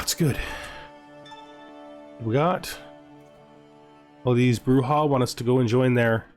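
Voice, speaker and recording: A man hosting a gaming video with playful commentary, talking to himself in an animated way and often repeating phrases. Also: mid-range voice. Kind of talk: playful gameplay commentary with a scripted lore narration.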